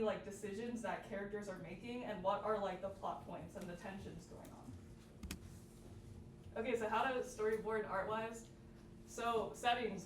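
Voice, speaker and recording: A young woman giving an informal workshop presentation in a casual manner, sprinkling "like" through her sentences. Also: medium pitch. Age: young adult. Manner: casual, peppered with "like". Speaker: student club workshop presenter. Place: indoor workshop room with audience. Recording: echoey room, distant mic, noisy background.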